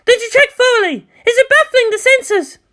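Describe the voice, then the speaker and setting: A fiery female commander, acted out by a woman narrator, pitched very high and swinging widely as she shouts her indignation. She is alarmed, emphatic and quick to anger, in a dramatic audiobook style. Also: animated, heavily acted. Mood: urgent. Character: hot-tempered, alarmed female military commander. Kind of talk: dramatic audiobook character acting.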